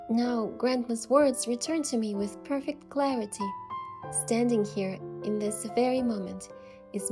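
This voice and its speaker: A woman's voice: mid-range voice, natural voice. A young woman playing a sincere, sensitive heroine who narrates her story in a game, earnest and careful, with music behind her. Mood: wistful, resolute. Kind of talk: scripted first-person game narration.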